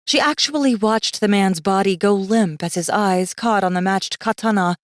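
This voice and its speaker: A woman narrating a fiction audiobook in an expressive, scripted storytelling style. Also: mid-range voice, moderate pace, no room sound, clean studio sound.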